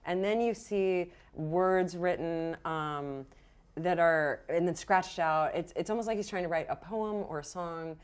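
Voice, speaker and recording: A woman game master narrating a tabletop game in an informal, halting, conversational way. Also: fairly low pitch, clean tone.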